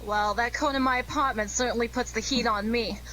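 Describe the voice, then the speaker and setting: Sleuth's miffed lady friend, female actor: dry sarcasm, bright tone, slightly noisy radio-play recording. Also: fairly high pitch, fairly even intonation, brisk. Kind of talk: 1940s radio detective drama acting.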